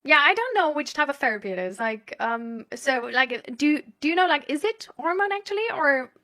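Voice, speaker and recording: Higher-voiced woman from Germany, chatting casually. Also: big pitch swings, moderate pace, bright, forceful tone. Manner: hesitant, rambling, thinking aloud; giggly, laughs often while talking. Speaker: host chatting live with viewers. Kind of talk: casual chat.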